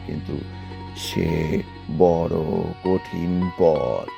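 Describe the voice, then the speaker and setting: A man playing a grave, solemn village herbal healer in a cartoon, speaking in deliberate, halting phrases. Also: low-pitched, expressive, vocal fry, music in the background, full character voice. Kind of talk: cartoon dialogue.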